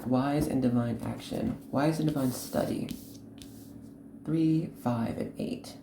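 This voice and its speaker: Very low-voiced woman giving a tarot reading. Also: roomy sound, very noisy. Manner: earnest, instructive, halting delivery in short phrases. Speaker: host, tarot card reader. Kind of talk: unscripted tarot reading addressed to the viewer.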